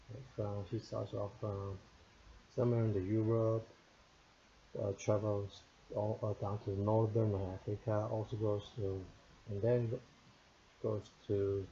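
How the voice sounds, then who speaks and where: Casting: man near 40, native Korean speaker talking casually, low mellow tone, musing delivery, slightly dull recording with faint hiss. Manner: thoughtful, rambling, many restarts and fillers. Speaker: book reviewer. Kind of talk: casual spoken book reflection.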